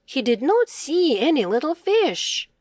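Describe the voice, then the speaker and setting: A woman reading a children's story aloud in a slow, deliberate, lively voice. Her pitch sits slightly high and swings widely. The recording is close and dry. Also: no room sound.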